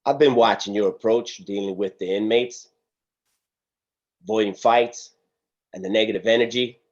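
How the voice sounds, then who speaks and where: A man's voice: lowish voice, normal speed, close to an everyday voice. A man reading the part of a stern, philosophical prison guard in a script table read, solemn and preachy, over a video call. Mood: solemn, admiring. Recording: dull, muffled video-call audio.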